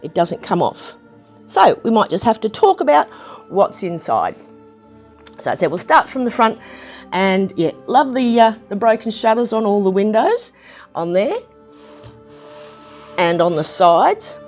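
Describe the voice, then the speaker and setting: A woman with a slightly croaky voice, chatting casually through a hands-on review of a building set, with plenty of ums and restarts. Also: medium pitch, very animated, unhurried, long pauses, a little mellow, soft background music. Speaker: brick set reviewer, channel host.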